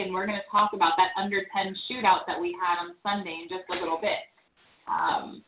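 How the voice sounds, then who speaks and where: A woman hosting a casual recap show, chatting and teasing callers. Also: mid-range voice. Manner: chatty, teasing, quick asides. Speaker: host. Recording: echoey, tinny phone line with faint noise.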